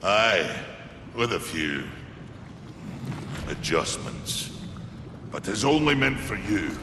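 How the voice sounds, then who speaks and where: A gruff, steadfast giant in a dramatic video game scene. His low, gravelly voice moves slowly and weightily, rallying the listener, with an echo around it. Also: big pitch swings, heavily acted. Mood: solemn resolve. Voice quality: deep, gravelly. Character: gruff, steadfast male giant smith rallying a fallen warrior. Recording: noisy, echoing stone hall.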